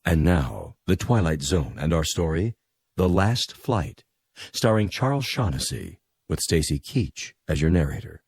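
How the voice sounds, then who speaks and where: A man with a very low, husky voice announces a radio drama. His delivery is scripted and full of gravel. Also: lively intonation, unhurried, darkish tone.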